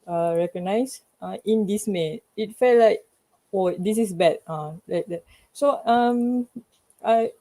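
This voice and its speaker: A woman with a Malaysian accent giving informal feedback to a class, haltingly and often searching for words. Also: mid-range voice, warm tone.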